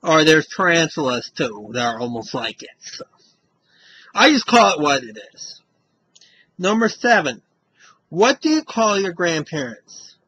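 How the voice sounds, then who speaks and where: A man's voice: fairly high pitch, sing-song, a little slow, pauses often, sharp tone, slightly rough, rough recording. A man with a Western Massachusetts accent, reading a word list and chatting casually to camera, rambling and tagging "you know" onto his sentences. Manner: rambling, says "you know" after almost every line. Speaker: vlogger doing an accent tag.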